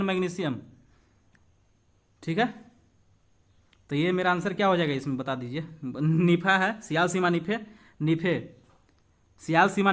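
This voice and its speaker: A man's voice: high-pitched, fairly bright tone. A man teaching an informal exam-prep lesson, reading questions aloud and explaining them, asking and answering his own questions as he talks directly to students.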